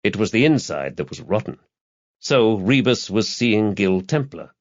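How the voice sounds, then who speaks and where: A man's voice: on the low side, expressive, unhurried, lo-fi sound. A man reading a crime novel aloud as an audiobook narrator, in a scripted literary style.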